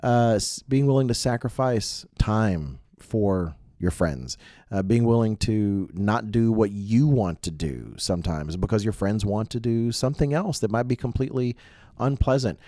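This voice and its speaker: Low, mellow male podcast co-host. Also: animated, moderate pace, no echo, clean studio sound. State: recovering from a mild cold. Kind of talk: casual religious youth podcast talk.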